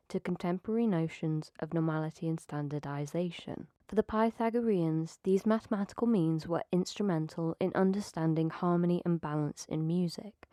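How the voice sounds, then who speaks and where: A woman with a warm, mellow voice delivers scripted academic narration, measured and even. The sound is close and dry, with no room around it. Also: fairly low pitch, no room sound. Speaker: video essay narrator.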